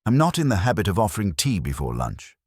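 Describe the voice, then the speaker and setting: A man voicing a prim, guarded older gentleman in a stiff, formal, reticent manner for a mystery story. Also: lowish voice, wildly swooping pitch, fast, husky, no room sound, clean studio sound, voice pushed up, heavily acted.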